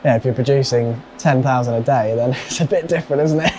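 A man's voice: mid-range voice, a little mellow, a little hiss or hum. A man, a vlogger touring a factory, who chats casually and exclaims readily. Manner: chatty, quick to exclaim. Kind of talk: casual chat. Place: indoor motorcycle factory.

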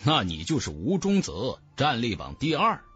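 A man's voice: medium pitch, huge pitch swings, moderate pace, fairly bright tone, faint music behind, pitched below the performer's own voice. A man voicing a defiant, mocking young martial cultivator in a fantasy audiobook, with cool, taunting delivery. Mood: provocative. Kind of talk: dramatic fantasy audiobook dialogue.

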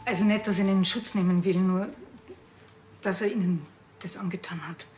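An actress playing a plain-spoken, weary and defensive wife in a TV drama. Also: female voice, medium pitch, fairly even intonation, normal speed, darkish tone, faint music behind, close to an everyday voice. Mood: apologetic, weary.

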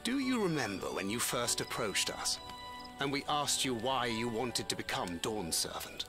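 An actor playing a supportive young adventurer in a video game, sincere, plain-spoken and encouraging. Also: male voice, mid-range voice, big pitch swings, moderate pace. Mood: warmly persuasive. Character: candid, supportive young male adventurer, steady mentor. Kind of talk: video game cutscene acting. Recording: background music under dialogue.

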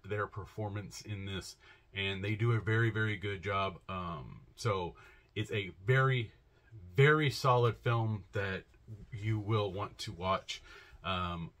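Man, 30s, home vlogger; bright, forceful tone sitting a bit low, loose and rambly with ums. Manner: chatty and rambling, many fillers and restarts. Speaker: comic shop vlogger. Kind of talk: casual to-camera vlog. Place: home room lined with shelves.

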